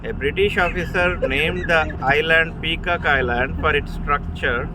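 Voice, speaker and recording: A man reading out an informational piece in a measured, deliberate way, like scripted narration. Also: fairly high pitch. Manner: slow, measured delivery. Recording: clear engine and outdoor noise.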